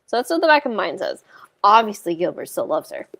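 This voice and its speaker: A woman's voice: medium pitch, big pitch swings, a little fast, creaky, fairly bright tone, dry recording, clean studio sound. A woman hosting a casual book discussion on a video call, talking in a hesitant, rambling way with lots of "like". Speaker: book club livestream host.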